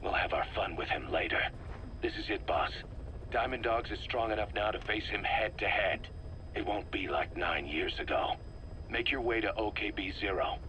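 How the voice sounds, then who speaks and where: An actor playing a stern, gruff military second-in-command, giving a grave and urgent mission briefing to his commander in a video game. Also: fairly low pitch, normal speed, sharp tone, a little hiss or hum. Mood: grim resolve.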